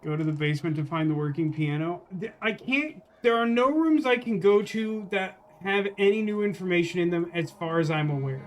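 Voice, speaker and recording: A man's voice: sits high, brisk, few pauses. A man streaming a game with casual, wry commentary, rambling as he thinks aloud.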